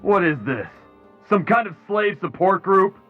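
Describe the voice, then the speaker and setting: An actor voicing a bullying, contemptuous slave overseer in a video game, barking and sneering. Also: male voice, high voice, expressive, measured pace, narrow, tinny audio, faint music behind. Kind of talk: dramatic video game voice acting.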